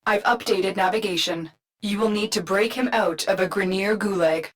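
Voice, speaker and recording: An actress playing a calm, authoritative mission guide in a video game, measured, formal and instructive. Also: female voice, medium pitch, lightly acted. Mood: calm command. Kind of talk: scripted video game character lines.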